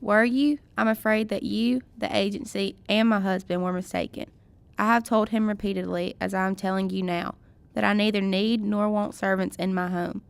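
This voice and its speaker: A woman's voice: medium pitch, steady tone, moderate pace, gravelly, clean tone, no echo, lightly acted. A woman playing a proud, stern mother in a radio drama, speaking in a formal, clipped and haughty way. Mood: stern, dismissive.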